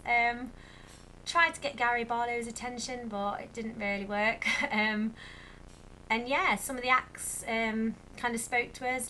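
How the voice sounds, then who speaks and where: Chatty young woman, bright voice. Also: a little high, a little hiss or hum, rough recording. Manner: chatty, rambling with many fillers. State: slightly croaky. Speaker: vlogger. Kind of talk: casual chat to camera.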